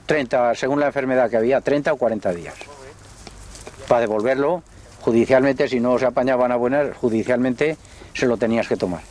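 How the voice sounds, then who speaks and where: Older man, livestock dealer, folksy yarn-spinner, mid-pitched, faint noise behind. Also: darkish tone. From Spain. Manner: folksy, unhurried storytelling. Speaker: horse and mule trader. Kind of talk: documentary interview, reminiscing.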